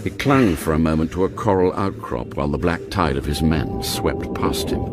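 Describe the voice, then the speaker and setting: An actor narrating an action spy thriller for radio drama in a measured, deliberate storytelling manner. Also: male voice, extremely low pitch, lively intonation. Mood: grave suspense. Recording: loud dramatic music under narration.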